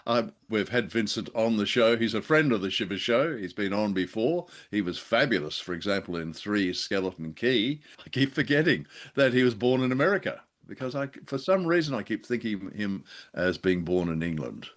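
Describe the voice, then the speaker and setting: A man's voice: fairly low pitch, expressive, normal speed, hardly any pauses. A man co-hosting a podcast, chatting casually and enthusiastically about an old radio play with many fillers. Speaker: podcast co-host. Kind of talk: casual review chat.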